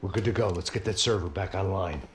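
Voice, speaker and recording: An actor playing a weary, cynical middle-aged American ex-cop bodyguard in a noir video game, deadpan and sardonic. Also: male voice, sits low, fast talker, slight background noise, natural voice. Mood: curt, commanding. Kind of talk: hard-boiled noir game narration and dialogue.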